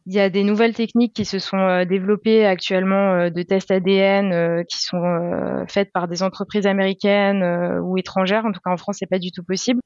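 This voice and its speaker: A young woman guest on a podcast, talking through a personal subject at an even, patient pace and pausing often with fillers. Her voice sits at a middle pitch and the recording is dry and a bit muffled. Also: no echo. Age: 20s. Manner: calm, explains step by step with many hesitations. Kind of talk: casual explanatory chat.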